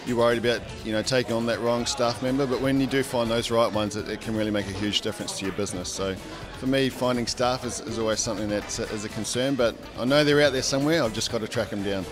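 A man in his forties, a small business owner, answering a vox pop question in a relaxed, upbeat, conversational way. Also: medium pitch, fast, few pauses. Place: indoor function room. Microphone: handheld interviewer mic. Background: background music.

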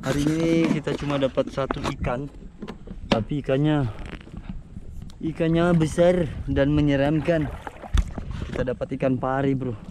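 Excitable man vlogging from a kayak. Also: fairly high pitch, moderate pace, long pauses. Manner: excitable, groaning and exclaiming while reeling in. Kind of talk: casual first-person fishing vlog. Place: outdoors on a kayak at sea. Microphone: action camera on the kayak. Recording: outdoor wind and water noise.

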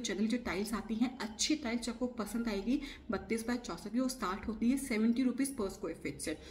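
A young woman in her twenties explaining renovation costs straight to camera in a brisk, instructive way. She switches between Hindi and English terms, recorded close up in a small room. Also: a little high, darkish tone. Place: small indoor room against a plain wall. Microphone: camera facing her at close range.

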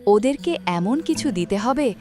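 A woman's voice: on the high side, expressive, normal speed, dark tone, faint music behind, lightly acted. A woman voicing a kind, grateful magical fairy in a cartoon. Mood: resolved.

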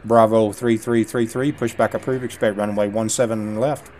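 Middle-aged man calling tower clearances. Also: on the low side, steady tone, moderate pace, a little mellow, slight background noise. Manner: drawling casual asides between calls. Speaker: gameplay streamer. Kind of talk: air traffic control radio calls with asides. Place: small home room indoors.

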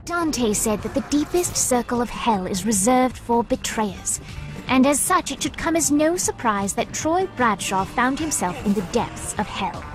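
A woman playing a wry, formal storybook narrator in a video game, measured and tongue-in-cheek. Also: fairly high pitch, lively intonation, music in the background, low-quality recording. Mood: wry, solemn.

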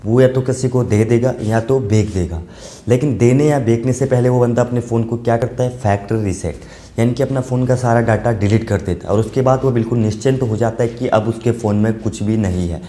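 Young man, tech vlogger, chatty explainer, mellow tone, roomy echo. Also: medium pitch, brisk. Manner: chatty, gesturing with both hands, mixing in English words. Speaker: tech YouTuber. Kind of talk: casual tech explainer to camera. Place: small bright room indoors, seated at a desk. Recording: echoey room.

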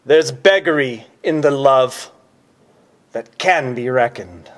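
A man performing an impassioned Roman statesman in a declamatory, theatrical Shakespearean monologue, with a husky voice. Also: medium pitch, takes it slowly, lightly acted. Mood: grave, restrained. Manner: declamatory, swinging from whispers to shouts. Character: impassioned Roman statesman orating grief and war.

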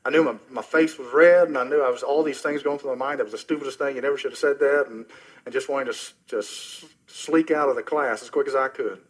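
A middle-aged man preaching a sermon as pastor, earnest and personal, often dropping soft and low at the ends of phrases. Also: fairly even intonation, quick pace, breathy edge, poor audio. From Oklahoma, US.

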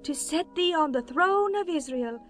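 A high-voiced reverent queen. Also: expressive, normal speed. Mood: awed, reverent. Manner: formal, declamatory archaic speech. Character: visiting queen, awed and reverent toward a king. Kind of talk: dramatized scripture reading. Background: music bed.

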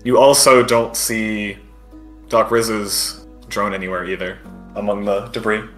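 A man's voice: fairly low pitch, little pitch movement, a little slow, fairly bright tone, faint music behind. A man narrating a tabletop game as game master, improvising descriptions in hesitant, casual wording.

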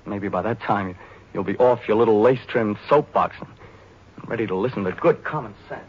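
A man's voice: on the low side, fairly quick, natural voice. An actor playing a cocky, self-serving young American serviceman in the style of a 1940s radio drama. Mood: bitter, scornful. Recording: muffled, noisy vintage 1940s radio.

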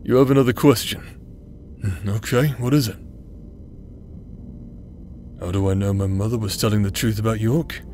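A man's voice: on the low side, moderate pace, pauses often, a little mellow, lightly acted. A man acting in an intimate audio roleplay as a teasing, roguish outcast brother who plays the villain but is secretly tender, with a hesitant, chuckling drawl. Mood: wry. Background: music.

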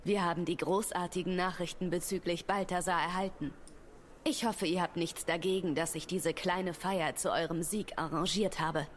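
A woman's voice: lowish voice, steady tone, normal speed, slight background noise, lightly acted. An actress voicing a gracious woman who leads a city council in a video game, formal and courteous.

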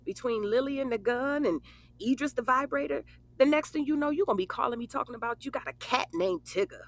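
An actress playing a brash, bawdy but loyal young best friend, blunt and teasing, in an audio drama. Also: female voice, high voice, quick pace. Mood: caring, frank. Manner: sassy, blunt, foul-mouthed, teasing. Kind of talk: casual conversational audio drama.

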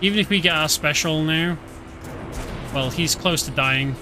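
A man's voice: a little high, moderate pace, over music. A man with a British accent gives casual, chatty commentary on a game as he plays. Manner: relaxed, chatty, explaining as he plays. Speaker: streamer.

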